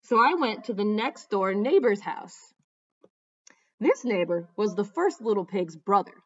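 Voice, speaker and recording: Lady reading as a sly wolf pleading innocence, storybook style, slow n swoopy, kinda muffled audio. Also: mid-range voice, animated, voice pushed down. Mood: mock-innocent. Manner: dramatic, drawn-out storytelling with mock-innocent asides. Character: aggrieved wolf narrator, self-justifying, sly, folksy storyteller.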